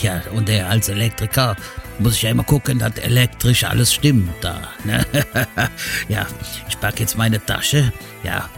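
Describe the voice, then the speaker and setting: A man's voice: lowish voice, gravelly. A man playing a chatty, grumbling electrician who rambles to himself in a comic radio-play monologue. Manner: rambling, self-talking, with tag questions. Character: chatty male electrician grumbling about a long trip. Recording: music under the voice.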